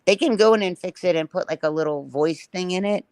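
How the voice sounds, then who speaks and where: A woman hosting a livestream panel, talking bluntly and matter-of-factly in a raspy voice. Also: fairly low pitch, brisk, a little mellow, no echo. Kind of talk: casual panel chat.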